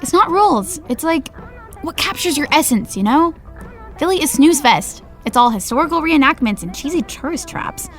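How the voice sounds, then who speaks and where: A woman's voice: sits high, expressive, moderate pace, a bit bright, faint music behind. An actress playing a bubbly, shallow young woman who talks in valley-girl chatter. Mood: chatty.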